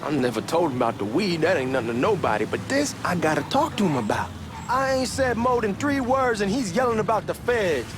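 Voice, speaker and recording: An excitable young hustler from a crime game, with a Southern American accent. His pitch swings widely as he talks fast slang, cocky and chummy. A clear bed of engine and street noise sits behind him. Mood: eager, defensive. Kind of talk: acted game dialogue, casual banter. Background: moving car ambience.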